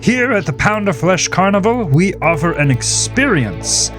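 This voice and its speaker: High-pitched male carnival guide, gleefully showy, theatrical, animated swoops, horror audio drama, music behind. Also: normal speed. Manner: theatrical showman's announcing.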